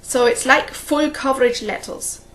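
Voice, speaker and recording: A woman's voice: sits high, measured pace, fairly bright tone. A woman hosting a cross-stitch video, chatting casually and rambling, often laughing at her own stories. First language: English. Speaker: flosstube host. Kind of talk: casual chat to camera.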